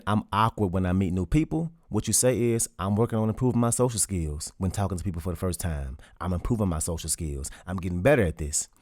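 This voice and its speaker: Low-voiced man giving motivational talk. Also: darkish tone, dry recording, clean studio sound. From Louisiana, US. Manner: earnest and direct, with plain colloquial speech. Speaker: podcast host.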